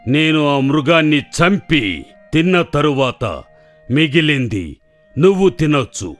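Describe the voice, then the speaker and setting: A man's voice: a little high, sing-song, takes it slowly, faint music behind. A man voicing a commanding, self-assured lion in a children's cartoon, speaking with authority.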